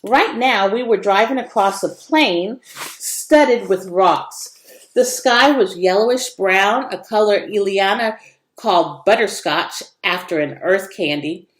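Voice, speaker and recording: A woman reading a children's story aloud as expressive narration, with varied intonation. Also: mid-range voice, slow. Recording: echoey room.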